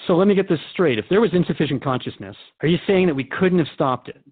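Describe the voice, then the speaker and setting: A man's voice: fairly high pitch, lively intonation, fast talker, phone-quality sound. A man playing an easygoing radio host who interviews in a casual, questioning way in a radio drama.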